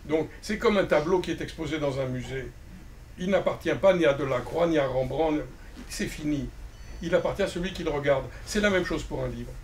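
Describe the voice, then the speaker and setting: A man who works as a literary translator, speaking at a bookshop panel in a measured, reflective way with frequent restarts. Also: on the high side, a little mellow. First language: not Romanian. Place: bookshop event. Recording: distant mic with faint ambient noise.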